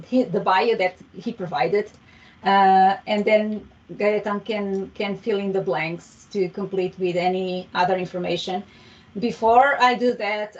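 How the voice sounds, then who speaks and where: A woman hosting an online meetup, introducing a guest speaker in a friendly, halting way with many fillers and restarts, speaking through a headset on a video call. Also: medium pitch. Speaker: meetup host. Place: home room, video call. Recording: distant, dull video call audio.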